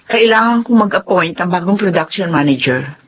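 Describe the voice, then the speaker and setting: A woman's voice: fairly low pitch, animated, normal speed, muffled recording, a little hiss or hum, close to an everyday voice. A woman playing a polite, dutiful office boss with something to hide in a Tagalog drama. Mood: official, measured. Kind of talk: Tagalog radio-style drama acting.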